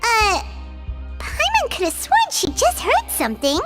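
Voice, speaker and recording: A woman voicing a tiny, excitable fairy girl who chatters and talks about herself in the third person, for a video game. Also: very high voice, a little slow, over loud music, heavily acted. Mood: curious. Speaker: game character.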